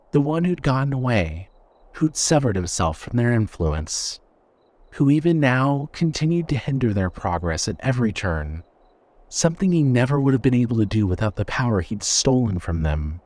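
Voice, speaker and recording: A male narrator reads scripted dark fiction with drama. His voice is of average pitch, and the recording is dry, with no room sound. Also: sing-song, moderate pace, dry recording.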